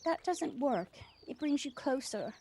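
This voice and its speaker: A woman's voice: high-pitched, lively intonation, measured pace, mellow. An actress playing a proud, devoted widowed mother who runs a cafe, speaking earnestly and reflectively in an audio play. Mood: matter-of-fact. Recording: noisy home recording on personal device.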